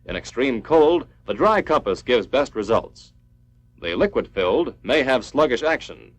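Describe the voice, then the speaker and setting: American man narrating, lively pitch swings. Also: measured pace, no echo. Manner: instructional, clipped declarative delivery. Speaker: training film narrator. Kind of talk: scripted military training film narration.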